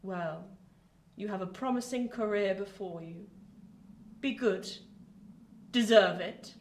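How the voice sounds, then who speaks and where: Slow, eccentric old lady. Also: mid-range voice, slight background noise. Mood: grand, eerie. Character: eccentric, imperious older lady, theatrical and slow. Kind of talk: theatrical radio play acting. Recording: roomy echo.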